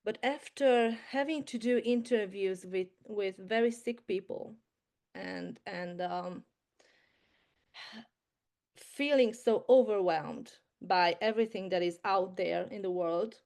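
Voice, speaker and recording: A woman with a Hungarian accent, a medical translator speaking as a guest on a video call, softly and with many hesitations. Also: mid-range voice. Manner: soft-spoken, hesitant, many fillers.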